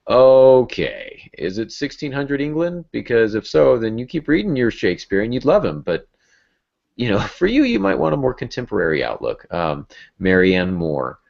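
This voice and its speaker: A man chatting informally as a podcast host, getting animated when he illustrates a point. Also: mid-range voice, normal speed, vocal fry. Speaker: podcast host and writing teacher. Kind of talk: casual podcast discussion. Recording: dull lo-fi podcast audio.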